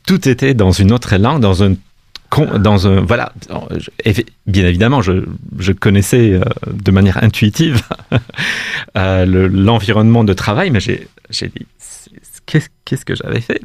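A man, a guest in a radio interview, speaking hesitantly with many restarts and soft laughs. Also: lowish voice, measured pace, no echo. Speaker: guest, cultural institute staff.

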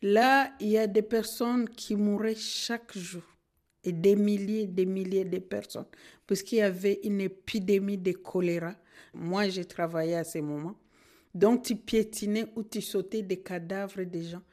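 A middle-aged woman from Congo, a Kinande speaker, answering in a radio interview in an earnest, measured way. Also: mid-range voice, fairly even intonation, darkish tone. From Democratic Republic of the Congo. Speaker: social worker and guest.

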